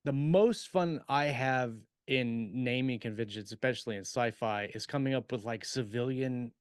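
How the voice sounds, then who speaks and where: A man talks as a podcast co-host in a very dry, close recording. His delivery is casual and halting, rambling through explanations with plenty of examples, at an average pitch for a man. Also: clean tone, no room sound. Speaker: podcast co-host and science fiction writer. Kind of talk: casual chat about writing.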